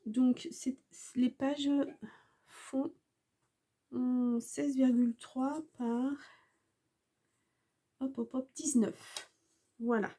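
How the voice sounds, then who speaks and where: Female crafter, sick with a cold, husky voice, chatty and halting. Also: high voice, steady tone, mellow. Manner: chatty, hesitant, many fillers, apologetic. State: has a cold, congested. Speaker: scrapbooking crafter. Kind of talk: casual craft show-and-tell.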